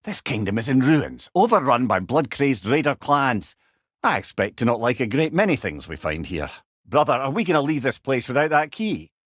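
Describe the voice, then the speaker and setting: An actor playing a wise, wry old companion in a video game, speaking with a Scottish accent in a folksy, eloquent way. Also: male voice, on the high side, no room sound, clean studio sound. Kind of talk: video game character dialogue.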